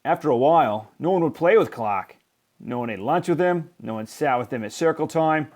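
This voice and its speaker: A man reading a children's picture book aloud in expressive narration, with emphatic, deliberate phrasing. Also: a little high. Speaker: storybook reader.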